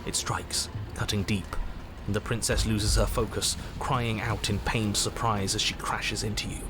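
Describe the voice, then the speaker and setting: A man playing the grave narrator of a horror game, telling events in measured, dramatic, ominous prose. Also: on the low side, flat delivery, background noise, natural voice. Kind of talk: dramatic scripted second-person narration.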